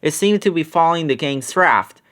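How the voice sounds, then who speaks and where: A man reading a children's story aloud in an expressive narrating style. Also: on the high side, normal speed. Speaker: storybook reader.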